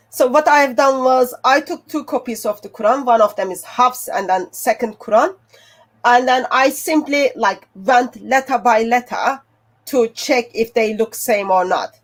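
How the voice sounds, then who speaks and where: A woman from Turkey with a Turkish accent, a native Turkish speaker, explaining her research method step by step. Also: fairly high pitch. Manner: plain, step-by-step with fillers. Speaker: guest researcher.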